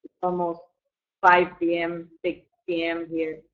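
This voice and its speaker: A woman's voice: on the low side, monotone, a little slow. A woman fan asking a band a question over a video call, friendly and curious. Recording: tinny phone-like call audio.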